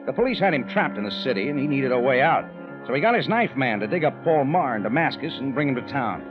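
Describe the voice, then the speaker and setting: Over a dull vintage radio recording with prominent music, an actor plays a tough American private adventurer. He talks in clipped hardboiled slang, wry and knowing, with lively swings of pitch. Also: fast, natural voice. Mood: confident, wry. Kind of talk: 1940s-50s radio detective acting with first-person narration.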